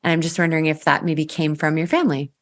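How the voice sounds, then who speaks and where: A woman's voice: deep voice, steady tone, quick pace. A woman hosting a podcast interview, asking encouraging, attentive questions of her guest. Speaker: podcast host. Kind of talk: interview host.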